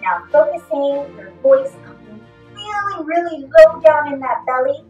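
A woman in her thirties giving an encouraging, animated lesson for children as a theatre performer. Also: high voice, unhurried, highs cut off. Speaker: theatre performer teaching character voices. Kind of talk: instructional video for children. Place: small indoor room. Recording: echoey room, faint music.

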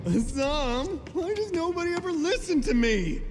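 An actor playing an earnest, exasperated young martial-arts fighter in a video game, with a plaintive, indignant manner. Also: male voice, very high voice, sing-song, normal speed, loud music behind the voice. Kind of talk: video game cutscene voice acting. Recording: reverberant hall, noisy background.

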